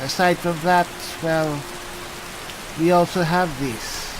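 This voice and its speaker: A man's voice: a little high, steady tone, slow pace. A man voicing a genial, chatty armored cleric adventurer in game roleplay, musing and conversational. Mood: chatty, explanatory. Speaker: gamer roleplaying his character. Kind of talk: in-character game roleplay narration. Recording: hissy, rain noise throughout. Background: falling rain.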